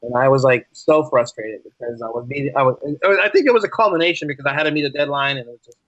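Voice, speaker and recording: A man's voice: mid-range voice, quick pace, fairly bright tone, no room sound. A man speaking as a podcast guest, telling stories conversationally in an earnest, emphatic way that repeats phrases. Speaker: podcast guest, writer and teacher. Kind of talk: casual conversational storytelling. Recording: dry, slightly dull lo-fi line.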